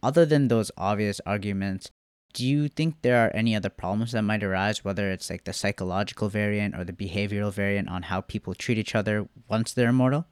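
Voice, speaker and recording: A man asks a guest long, winding questions, speaking as the host of a podcast. His voice sits low and sounds smooth and resonant. The recording is dry and close. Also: a little fast, no echo. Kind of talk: conversational interview questions.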